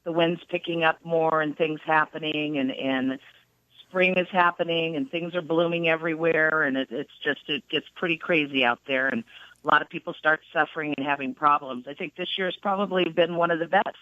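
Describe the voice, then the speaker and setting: A woman, a registered nurse, calling in to a radio show and explaining things in a relaxed, friendly way. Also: low voice, brisk, no echo. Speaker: registered nurse, phone caller. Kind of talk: phone-in interview. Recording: muffled phone line.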